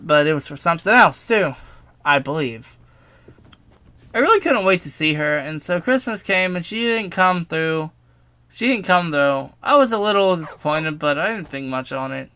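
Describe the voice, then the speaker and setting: A man's voice: fairly high pitch, expressive, moderate pace, sharp tone, clear, resonant tone, dry recording. A young adult man telling a personal story casually, partly from a script, with informal, self-deprecating asides and some swearing. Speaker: storytime video narrator.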